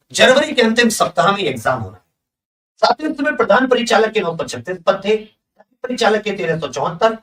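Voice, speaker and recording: A man's voice: sits high, fairly bright tone, distant mic. A man with a North Indian accent, an exam coaching teacher briefing students emphatically, asking questions and answering them in a familiar big-brotherly way. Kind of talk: exam update addressed to students.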